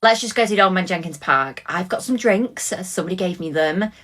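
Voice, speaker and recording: A woman's voice: fairly low pitch, brisk, a bit bright. A woman voicing a brash teenage schoolgirl who talks casually and giggles.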